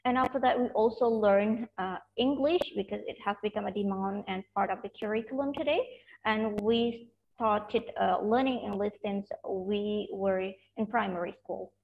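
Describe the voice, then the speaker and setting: A woman's voice: medium pitch, normal speed. A woman from Aceh, Indonesia, carefully explaining her teaching context as a webinar panelist over a video call. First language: Acehnese. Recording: dull video-call audio.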